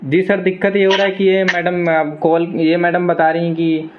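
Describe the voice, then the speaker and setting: A man's voice: sits high, monotone, moderate pace, noisy background. An insistent man in an argumentative phone conversation who keeps repeating his point. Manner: repetitive, insistent. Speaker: video creator recording a live phone call with a suspected scam caller. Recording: thin phone-like sound.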